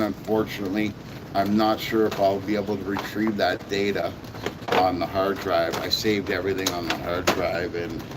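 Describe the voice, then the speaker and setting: Hoarse low-voiced man rambling casually. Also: very noisy. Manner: rambling, repeats himself, many fillers. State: hoarse, sore voice. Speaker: toy reviewer. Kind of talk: casual vlog chat.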